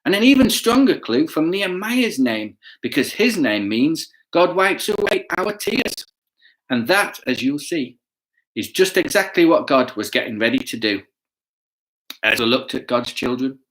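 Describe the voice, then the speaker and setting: A man reading a children's story aloud with chatty asides, playful and expressive, often putting questions to his listeners. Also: a little high, measured pace, rough recording. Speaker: children's bedtime story reader.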